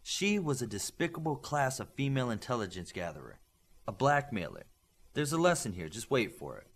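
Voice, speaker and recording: A man voicing a stern, principled instructor who lectures young superhero trainees in measured, emphatic audiobook dialogue. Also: mid-range voice, sing-song. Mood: stern, lecturing. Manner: emphatic, didactic, measured lecturing with rhetorical questions.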